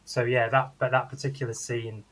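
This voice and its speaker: A man's voice: medium pitch, little pitch movement, a little fast, a loud crowd. A man chatting casually and enthusiastically as a co-host reviewing films, in a rambling way. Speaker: podcast co-host and film reviewer. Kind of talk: casual film review chat.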